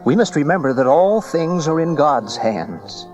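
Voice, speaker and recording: Serene, expressive wise man. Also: on the high side, a little slow, soft background music. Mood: calm conviction. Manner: reassuring, earnest. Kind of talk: dramatized audio Bible acting.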